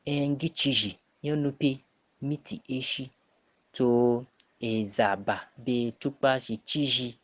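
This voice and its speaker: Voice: medium pitch, fairly even intonation, slow pace, no echo. A person giving a spoken answer as an academic contributor to a program. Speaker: academic answering a monthly question.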